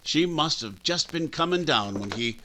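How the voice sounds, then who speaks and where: An actor playing a firm, protective small-town doctor with an authoritative manner, in old-time radio style. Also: male voice, fairly high pitch, animated, normal speed, pushed and bright. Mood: matter-of-fact. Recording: faint noise, clicks.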